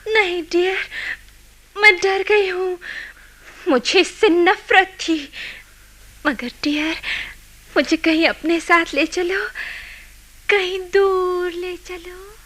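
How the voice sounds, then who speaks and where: Radio drama: young, troubled, fragile dreamer, extremely high-pitched, drawn-out delivery over music. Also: female voice, fairly even intonation, a bit bright, full character voice. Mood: frightened, pleading. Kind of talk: Hindi radio play acting.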